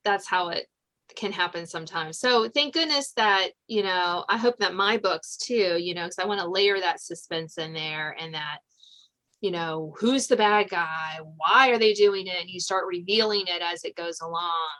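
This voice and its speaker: A woman's voice: fairly low pitch, normal speed, pushed and bright. A woman hosting a podcast in casual conversation, talking emphatically and expressively as she explains. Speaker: podcast host, suspense author. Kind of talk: casual interview chat.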